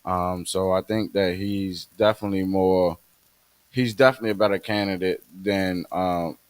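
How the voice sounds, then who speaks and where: Low-voiced guy on a podcast, lots of ums and restarts, dry sound. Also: steady tone, dry recording. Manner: halting, many fillers and restarts. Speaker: podcast co-host. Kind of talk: casual podcast chat.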